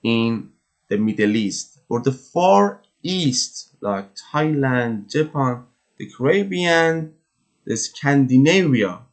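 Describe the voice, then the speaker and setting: Male English teacher, mid-pitched, deliberate slow pace, textbook walkthrough. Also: animated. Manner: slow, careful, repeats words for pronunciation. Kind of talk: vocabulary lesson walkthrough.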